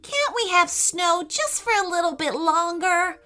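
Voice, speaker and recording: Woman as an excitable, high-voiced little boy, Ethan. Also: measured pace, faint music behind, full character voice. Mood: pleading. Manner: emphatic, childlike calling out.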